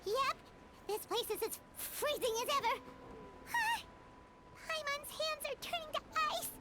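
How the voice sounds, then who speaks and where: A woman voicing a tiny, chirpy, childlike floating girl companion in a video game, curious and excitable. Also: extremely high pitch, measured pace, very noisy. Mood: questioning, chilly. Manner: speaks of herself in the third person, exclaiming.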